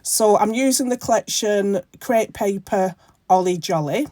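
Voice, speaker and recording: A woman with a croaky voice from a bad cold, hosting her own crafting channel and talking through a project in a rambling way, with many ums and self-corrections. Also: mid-range voice, measured pace, mellow, dry recording, studio quality. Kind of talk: casual crafting tutorial narration.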